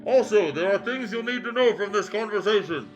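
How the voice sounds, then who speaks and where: A man voicing a calm, solemn ghost guide who lectures sternly in a fan fiction reading. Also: sits high, fairly quick, muffled recording, faint music behind, voice pushed up. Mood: solemn lecturing.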